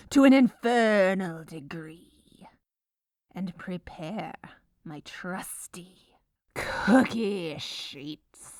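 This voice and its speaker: Female ASMR performer, gleefully evil baker character, drawn-out theatrical delivery, close dry sound. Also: on the low side, darkish tone, dry recording. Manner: theatrical, menacing, gleeful villainous delivery of mundane baking steps. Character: gleefully menacing baker, melodramatic and secretly evil woman. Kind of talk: scripted ASMR comedic narration.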